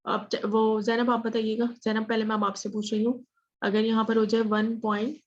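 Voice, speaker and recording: A woman's voice: fairly high pitch, level tone, normal speed. A woman teaching an interactive statistics lesson, asking questions and checking understanding, mixing in English phrases. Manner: mixes English phrases into speech. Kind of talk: interactive online math lesson. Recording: dull lo-fi audio.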